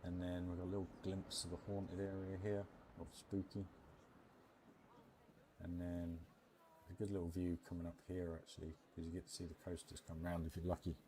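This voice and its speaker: British male streamer, low relaxed voice, chummy and informal, faint background noise. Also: moderate pace. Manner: chummy, addresses viewers as mate and bud. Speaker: game streamer and host. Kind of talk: casual live stream chat over a theme park building game.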